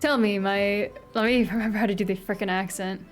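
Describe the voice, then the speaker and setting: A woman's voice: medium pitch, fairly even intonation, a little fast. An American woman streaming live, chatting casually and giggly with her viewers between reading game lines. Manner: chatty, giggly, talks to chat. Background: faint pop music playlist.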